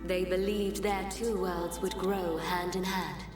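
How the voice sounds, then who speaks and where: An actress playing a serene, ancient goddess in a video game, telling of the past in measured, formal, grave phrases. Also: female voice, fairly low pitch, flat delivery. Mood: wistful, solemn. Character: serene, solemn ancient goddess, soul of a world. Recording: echoey, distant, under prominent music.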